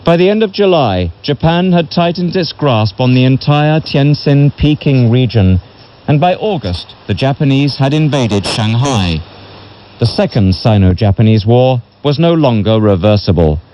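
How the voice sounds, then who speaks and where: A man reading a history book as a narrator in a measured, solemn way, heard over a shortwave radio broadcast. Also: mid-range voice, animated, warm tone, highs cut off. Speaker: audiobook narrator. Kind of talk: scripted literary history narration.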